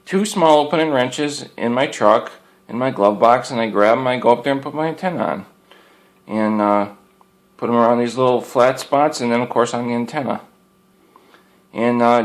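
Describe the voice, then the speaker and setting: A man's voice: mid-range voice, lively intonation, moderate pace. A man giving a casual, unscripted product review as a hobbyist, rambling in a folksy way and circling back over his points. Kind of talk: casual unscripted show-and-tell.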